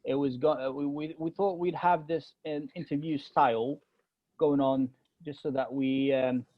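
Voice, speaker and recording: A man's voice: a little high, steady tone, a little slow, dry recording, muffled recording. A young man from Egypt, with Arabic as his first language, speaking with a British accent with a hint of American. He co-hosts a casual podcast chat and talks hesitantly, with many fillers and restarts. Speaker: podcast co-host.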